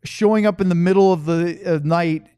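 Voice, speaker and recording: Man, clear resonant voice, slightly high, dry sound, casual podcast banter. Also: fairly even intonation, moderate pace, dark tone, no room sound.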